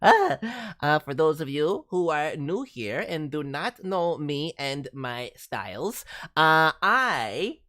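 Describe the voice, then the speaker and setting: An excitable cartoon raccoon with a high voice that swoops up and down theatrically. He takes his time over each phrase and playfully mangles words as he hosts a livestream, every bit the reformed toon villain. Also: takes it slowly, full character voice. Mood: bubbly, showy. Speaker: host, voice director reviewing demo reels. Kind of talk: livestream hosting in character as a cartoon VTuber.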